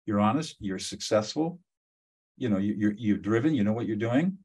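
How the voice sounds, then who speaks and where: Husky-voiced man in his seventies. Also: lowish voice, expressive, moderate pace. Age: 70s. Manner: warm, emphatic, encouraging. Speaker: podcast host. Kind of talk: interview conversation.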